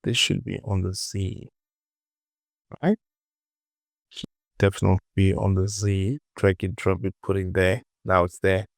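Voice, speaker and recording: A man giving a casual software tutorial, thinking aloud and muttering short clipped phrases to himself as he works. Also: sits low, level tone, a little slow, creaky, pauses often, dry recording, studio quality. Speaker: 3D modeling tutorial presenter.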